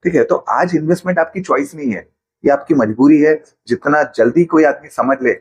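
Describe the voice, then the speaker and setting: Husky-voiced man explaining finances patiently. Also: on the high side, a little fast. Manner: patient, explains with everyday examples. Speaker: financial planner guest. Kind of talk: explanatory interview talk.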